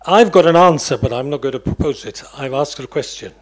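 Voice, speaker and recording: A man with a somewhat high voice asks the panel his question from the floor. He projects deliberately, stressing his words the way a stage-trained speaker would. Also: expressive. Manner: projecting, emphatic. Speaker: audience member, theatre specialist. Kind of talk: academic panel discussion.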